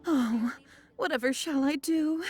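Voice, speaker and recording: A woman's voice: high-pitched, unhurried, a little mellow, faint music behind. A woman playing a timid, helpless young woman in a video game, polite, distressed and close to tears. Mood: distraught. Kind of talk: video game character acting.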